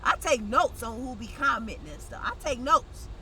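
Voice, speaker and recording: A woman's voice: sits high, moderate pace, sharp tone, a little hiss or hum. A young woman vlogging in a blunt, slangy, conversational way, sounding congested and nasal. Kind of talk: casual direct-to-camera chat.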